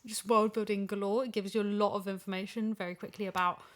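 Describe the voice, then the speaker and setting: A woman's voice: mid-range voice, flat delivery, fast. A woman in a casual podcast chat, talking emphatically and repeating phrases for stress as a voice actor discussing an audio drama.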